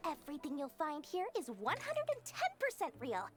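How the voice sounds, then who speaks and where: Game merchant: small greedy girl, high pitch, theatrical swings, grandiose, wheedling salesmanship. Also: wildly swooping pitch, normal speed, very noisy. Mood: boastful, salesy. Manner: grandiose, flowery salesman's patter. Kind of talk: video game voice acting. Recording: loud game music underneath. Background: game music.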